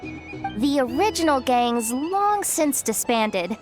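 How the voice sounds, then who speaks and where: An actress playing a blunt, world-weary young female guide in a fantasy game, curt, streetwise and deadpan. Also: high-pitched, takes it slowly, over music, full character voice. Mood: blunt, dismissive. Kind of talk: game voice acting.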